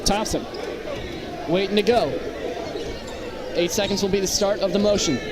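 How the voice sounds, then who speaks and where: A man doing live play-by-play commentary of a basketball game from a high school gym. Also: steady tone, normal speed. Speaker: play-by-play announcer. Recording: loud crowd and gym noise. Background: basketball crowd, bouncing balls.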